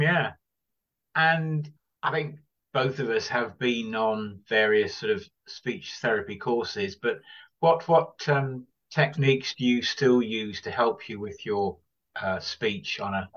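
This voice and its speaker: Halting male podcast host asking questions. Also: lowish voice, a bit bright, highs cut off. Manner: halting, with repeated words and phrases. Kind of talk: casual interview.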